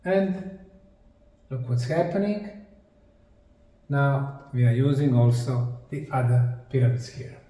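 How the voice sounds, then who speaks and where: A man with a Bosnian accent giving an explanatory presentation, speaking emphatically in short, dramatic phrases with pauses. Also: mid-range voice, expressive, dark tone. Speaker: presenter, researcher. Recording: echoey room, distant microphone.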